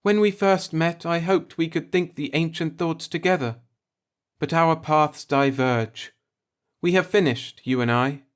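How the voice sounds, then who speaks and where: A man's voice: a little high, unhurried, clean tone, voice pushed up, close to an everyday voice. A man voicing a proud, embittered old alien man who speaks with formal solemnity in a science fiction audiobook. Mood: resigned, stubborn. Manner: formal, solemn, grieving speech.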